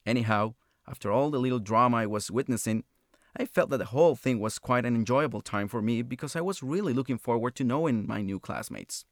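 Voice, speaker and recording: A man's voice: medium pitch, fairly quick, no room sound, natural voice. Pleasant ordinary man played by a male actor, scripted conversational read, dry sound. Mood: reflective. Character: pleasant man chatting about everyday memories and news. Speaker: actor in listening test. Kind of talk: scripted monologue and dialogue.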